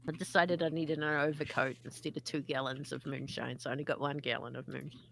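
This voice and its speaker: Female tabletop player, low creaky voice, casual joking banter, faint background noise. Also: fairly even intonation, quick pace, a bit bright.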